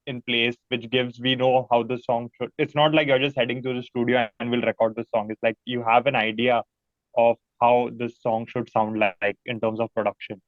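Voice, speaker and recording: Male band-member guest, mid pitch, halting casual talk on a muffled lo-fi recording. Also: dry recording. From India. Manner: halting, repetitive phrasing. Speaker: musician being interviewed. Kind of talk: casual chat. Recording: dry, dull lo-fi audio.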